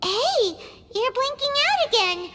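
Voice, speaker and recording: A woman voicing a tiny, chatty fairy companion in an anime video game, with a squeaky childlike voice. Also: sing-song, measured pace, heavy background noise, heavily acted. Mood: curious. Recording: loud music behind the voice.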